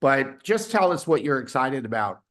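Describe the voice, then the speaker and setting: A man hosting a weekly team video call, his voice hoarse from laryngitis, rambling with fillers and restarts. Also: mid-range voice, big pitch swings, moderate pace, a bit bright. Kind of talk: casual team announcements.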